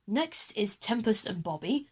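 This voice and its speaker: A woman's voice: medium pitch, lively intonation, unhurried, a little mellow, breathy edge. Woman playing a formal, welcoming school headmaster. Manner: formal, announcing.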